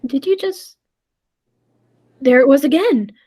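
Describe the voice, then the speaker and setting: A woman's voice: high voice, animated, slow, dark tone. A woman playing an earnest, thoughtful time traveller who narrates a radio play with dramatic storytelling. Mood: tense, dread.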